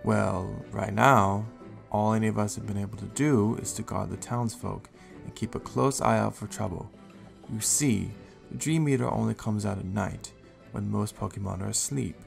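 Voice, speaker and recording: A man playing a calm, responsible Raichu guardian in an audio drama, speaking in a measured, explanatory way. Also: on the low side, gravelly, faint music behind. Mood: earnest, explaining. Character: calm, helpful adult male Raichu guardian, steady and responsible.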